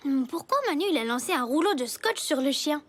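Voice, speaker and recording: A woman's voice: high-pitched, lively intonation, fairly quick. A woman voicing a sweet, questioning young schoolgirl in a cartoon. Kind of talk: French animated comedy series acting.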